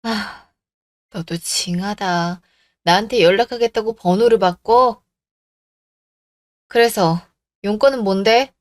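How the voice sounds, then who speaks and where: A woman's voice: mid-range voice, slow, pauses often, dry recording, clean studio sound, lightly acted. A woman playing an indignant, firm young woman in a radio drama, scolding bluntly. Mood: scornful. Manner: blunt, scolding, rhetorical questions.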